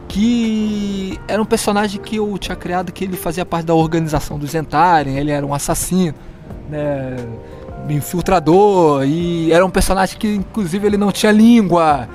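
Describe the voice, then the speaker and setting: Meandering Brazilian man, high voice. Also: normal speed, a little mellow, soft background music. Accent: Brazilian Portuguese. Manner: meandering, searching for words, chuckling. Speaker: guest, fellow tabletop player. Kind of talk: casual storytelling. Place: stairwell of an apartment building.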